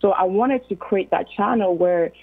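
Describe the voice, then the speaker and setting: A woman's voice: mid-range voice, moderate pace, faint music behind. A young woman from Ghana with a Ghanaian accent, a guest on a call-in radio talk show, speaking earnestly with many fillers. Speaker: guest accountant. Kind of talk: phone-in radio interview. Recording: tinny phone line.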